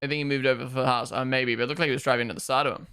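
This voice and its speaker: Bright-voiced Kiwi-Aussie streamer. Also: male voice, mid-range voice, fairly even intonation, clean tone. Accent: mixed New Zealand and Australian. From New Zealand. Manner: chatty, quick asides, reacts loudly to on-track moments. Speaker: streamer hosting a watchalong. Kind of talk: casual live commentary, reading chat.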